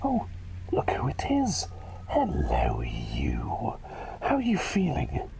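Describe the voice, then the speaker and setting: A man voicing an eccentric, whimsical plague doctor in a breathy ASMR whisper, playful, rambling and softly reassuring. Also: medium pitch, huge pitch swings, slow pace, a little hiss or hum, exaggerated voice, low-quality recording. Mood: playful, welcoming. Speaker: ASMR performer. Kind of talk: whispered ASMR roleplay, personal attention.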